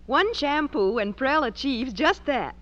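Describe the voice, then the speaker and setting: Woman as bright-voiced American high school girl. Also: animated, measured pace, lo-fi sound. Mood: earnest. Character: earnest high school girl giving shampoo testimonial. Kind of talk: 1940s radio advertisement testimonial. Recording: thin vintage radio recording.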